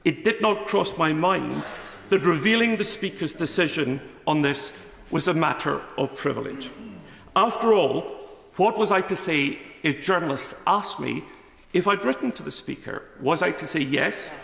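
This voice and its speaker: A man's voice: fairly high pitch, lively intonation, moderate pace, vocal fry, fairly bright tone. A middle-aged man, a member of parliament, giving a formal, defensive speech from his notes in a debating chamber. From Scotland. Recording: echoey chamber with long reverb.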